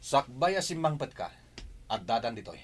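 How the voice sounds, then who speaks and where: A man's voice: mid-range voice, very animated, normal speed. A man with an Ilocano accent playing a stern, wronged man confronting his rival in a radio drama. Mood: defiant, angry. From Philippines.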